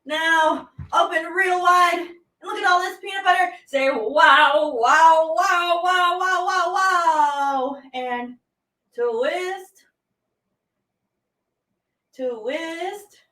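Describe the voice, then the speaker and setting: A woman leading a children's movement warm-up as a teaching artist, playful and exclaiming, stretching out her words as she gives simple instructions. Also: extremely high pitch, very slow, pauses often. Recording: roomy echo, distant from the mic.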